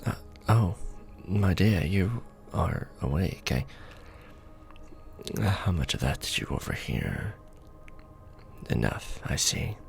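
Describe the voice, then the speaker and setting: Man playing a low, creaky-voiced courtly vampire lord. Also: big pitch swings, music in the background, exaggerated voice. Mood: tender, awkward. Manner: formal, measured, hesitant when tender. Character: courtly, conflicted vampire lord, tender toward his beloved. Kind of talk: romantic ASMR fantasy roleplay.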